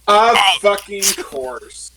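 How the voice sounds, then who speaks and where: Man, tabletop player, high voice, exasperated cursing, background noise. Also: expressive, extremely slow. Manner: swears a lot, exasperated. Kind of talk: casual tabletop play.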